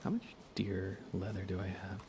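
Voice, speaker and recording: A man chats casually as he live streams a game for an ASMR audience. His voice is fairly low with plenty of vocal fry, and he keeps it hushed and soft. Clear background noise and ambience sit behind him. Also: flat delivery, normal speed. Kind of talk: casual ASMR gaming chat, replying to live viewers.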